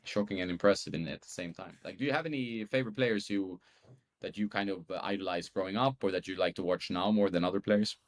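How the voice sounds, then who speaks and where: A man's voice: deep voice, fast talker. A man speaking English as a guest in a casual chat, easygoing and thoughtful. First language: Swedish.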